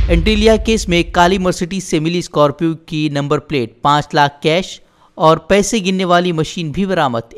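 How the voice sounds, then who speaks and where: A man with a somewhat high-pitched voice reads a scripted news bulletin. His delivery is formal and declarative, set out headline by headline as a presenter would. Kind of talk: scripted headline news bulletin read in Urdu-heavy register.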